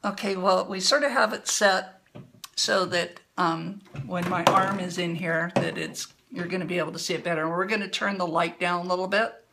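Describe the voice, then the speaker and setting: A woman calmly explaining a hands-on demonstration at a table for a livestream, a little hoarse. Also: on the low side, background noise. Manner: calm, explanatory, unhurried. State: slightly hoarse. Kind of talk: instructional demo talk. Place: indoor room at a table.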